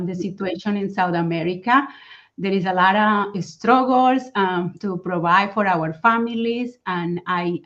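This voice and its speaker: A Colombian woman with a Spanish accent talks about her life as an interview guest, slowly and earnestly, with many "um"s and restarts. Her words come through a dull, muffled video call line. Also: mid-range voice, fairly bright tone. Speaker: guest, mindfulness coach. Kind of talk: personal story in a casual interview. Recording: dull lo-fi sound.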